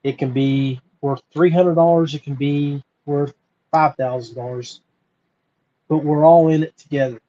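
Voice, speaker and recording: An American man hosting a podcast, talking informally and earnestly with frequent pauses. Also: on the high side, fairly even intonation, warm tone, muffled recording. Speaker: podcast host. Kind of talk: informal solo podcast talk.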